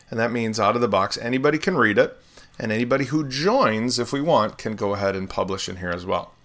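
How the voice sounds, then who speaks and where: A man hosting a live software tutorial, explaining steps in a relaxed way with everyday comparisons while still getting over laryngitis. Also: medium pitch. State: recovering from laryngitis, swallowing often. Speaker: web developer tutorial host.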